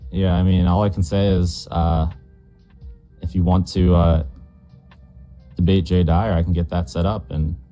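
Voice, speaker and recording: Low, dark-toned man, halting and cautious. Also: little pitch movement, soft background music. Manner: hesitant, stammering restarts. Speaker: debate guest. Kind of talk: casual online debate.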